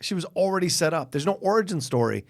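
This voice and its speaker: Animated, blunt man co-hosting a film podcast. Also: high-pitched, fast talker. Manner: opinionated, blunt, emphatic, sweary. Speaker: podcast co-host. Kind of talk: casual film review chat.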